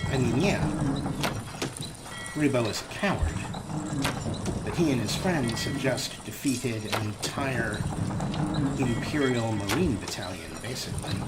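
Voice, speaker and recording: Man narrating gameplay over loud ambience. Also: mid-range voice, big pitch swings, slow, pauses often. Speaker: let's play host. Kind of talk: in-character story narration of gameplay. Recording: loud game ambience noise.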